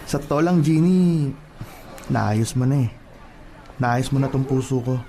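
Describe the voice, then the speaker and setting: A lovesick plumber suitor in a radio-style drama, acted by a man, earnest and halting. His mid-pitched voice is mellow and dark, pleading with sentiment. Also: a little hiss or hum, natural voice.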